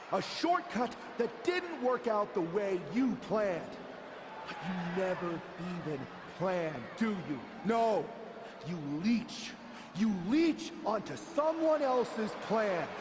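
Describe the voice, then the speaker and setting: Furious, high-pitched wrestler in an echoing arena. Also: male voice, heavily acted. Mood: bitter, scornful. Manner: emphatic, swings from low menace to shouting. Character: vengeful betrayed male pro wrestler, bitter and confrontational. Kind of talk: dramatic in-ring wrestling promo. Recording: echoey arena hall, noisy background.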